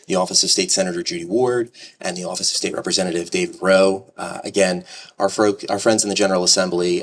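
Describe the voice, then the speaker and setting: A man's voice: low-pitched, far from the mic. A man hosting an outdoor courthouse ceremony, speaking earnestly with small stumbles. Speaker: master of ceremonies. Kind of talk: public speech at outdoor ceremony.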